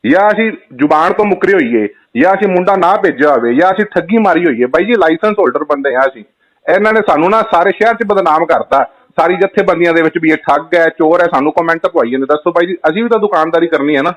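Fast-talking, pleading Punjabi man on the phone. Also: on the high side, animated. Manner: deferential, pleading, insistent. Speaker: travel agent. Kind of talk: phone call, arguing his side. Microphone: phone call. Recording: muffled recorded phone call.

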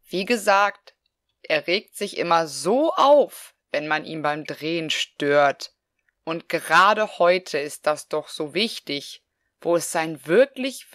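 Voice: medium pitch, a bit bright, dry recording, studio quality. An actor playing a doting, naive older mother, sweet and fussing, in a parody detective audio play.

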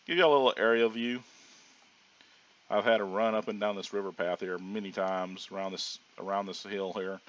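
A middle-aged man with a low voice, hoarse from a cold, walks viewers through his game in a loose, rambling way. He is full of ums and half-started sentences. The audio sounds dull and lo-fi, like a cheap home microphone. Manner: relaxed, rambling with frequent ums and restarts. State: hoarse from sinus trouble. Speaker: gaming channel host. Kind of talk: casual gameplay commentary.

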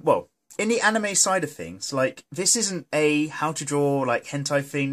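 A man's voice: medium pitch, fairly bright tone. A British man with a blocked-up nose from a cold, hosting a drawing tutorial in a chatty, jokey, self-deprecating and mildly sweary way. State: congested with a cold. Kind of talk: casual, rambling tutorial narration. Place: indoors at a desk, hands filmed from above.

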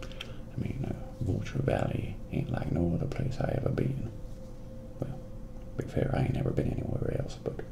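A man playing a folksy small-town motel clerk in a soft-spoken ASMR role play, with a Southern American accent, breathy and gravelly, often near a whisper. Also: low voice, huge pitch swings, heavily acted. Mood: folksy, unhurried. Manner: slow, drawling, folksy, hesitant pauses. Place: small dim office at a desk. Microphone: close binaural microphone. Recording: noisy close binaural recording, room ambience.

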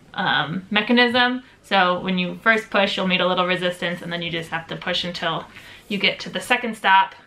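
A woman's voice: mid-range voice, sharp tone. A young woman walking through plumbing steps on a bus conversion, practical and step by step, with the odd "um". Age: young adult. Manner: practical, step-by-step, with frequent ums. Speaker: vlogger building out a bus. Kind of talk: DIY how-to explanation. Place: inside a bus under conversion.

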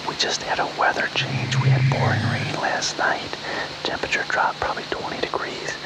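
A man in his thirties giving a hushed, whispered field update to camera as a bowhunter in the woods. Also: normal speed, poor audio. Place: outdoors in woods, up in a tree stand.

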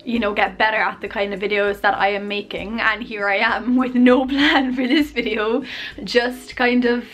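A woman in her twenties rambling casually to camera in her bedroom, chatty and self-deprecating, her voice a little hoarse. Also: fairly high pitch, steady tone, moderate pace, fairly bright tone. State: losing her voice, coughing. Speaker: YouTuber. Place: bedroom, indoors. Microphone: camera on tripod at arm's length.

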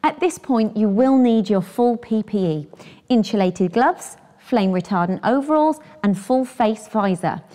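A woman's voice: fairly high pitch, lively intonation, measured pace, warm tone. A British woman in her thirties presenting scripted instructions for a training film.

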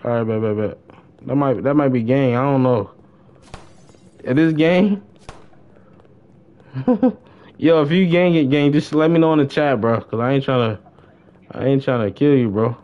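A man's voice: mid-range voice, normal speed, long pauses, smooth, dull audio. A man livestreaming a game, chatting casually in slang and often repeating his phrases. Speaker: livestreamer and gamer. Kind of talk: casual gaming livestream banter.

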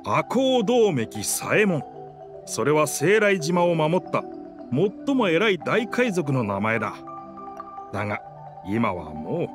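Proud pirate-ship character, video game, old-fashioned swagger, lively swooping intonation, light music behind. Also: male voice, on the high side, slow, heavily acted. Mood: proud, incredulous. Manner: boastful, theatrical, old-fashioned masculine speech.

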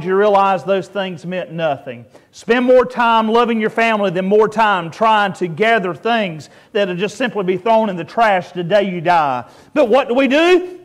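A male pastor preaching a sermon in a church, stretching out emphatic words and asking the congregation for amens. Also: very high-pitched. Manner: preaching with drawn-out, emphatic calls to rejoice.

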